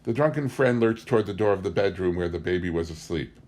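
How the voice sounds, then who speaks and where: A man with a fairly low voice narrates a book aloud. He delivers literary first-person memoir prose in the role of an audiobook reader. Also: lively intonation, fast, a little mellow.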